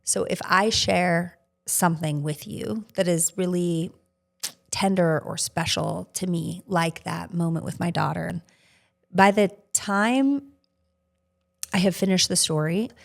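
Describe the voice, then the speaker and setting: A woman's voice: on the low side, measured pace, creaky. A woman co-hosting a podcast, speaking casually and reflectively in an open, earnest manner. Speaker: podcast co-host answering questions.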